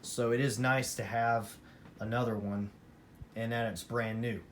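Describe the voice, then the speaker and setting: A man with a mid-pitched voice presents his collection in an off-the-cuff hobbyist style. He leans on points by repeating them for emphasis. The amateur recording carries clear ambient noise behind him. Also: fairly even intonation, unhurried. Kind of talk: casual unscripted vlog talk.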